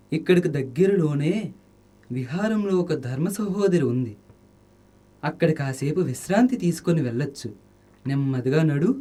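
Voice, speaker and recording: A man's voice: a little high, mellow, close to an everyday voice. A man playing a humble, anxious, kindly Buddhist monk in a radio drama, exclamatory and solicitous. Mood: gentle.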